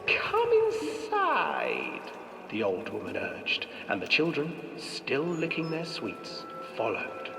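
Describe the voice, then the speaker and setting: A man narrating a dark fairy tale as a storyteller, in a theatrical and ominous manner. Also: mid-range voice, lively intonation, measured pace, creaky, hardly any pauses. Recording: echoey hall, distant, music behind.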